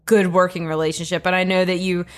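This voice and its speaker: A woman's voice: fairly low pitch, flat delivery, quick pace. A woman co-hosting a radio interview, a publisher, talking in a chatty way with many fillers. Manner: chatty, conversational, with many "you know"s. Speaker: co-host, publisher.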